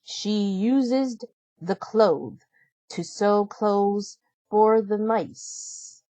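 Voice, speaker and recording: A woman reading a children's storybook aloud as narrator, in an expressive, deliberate storytelling manner. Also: medium pitch, no room sound. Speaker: storybook reader.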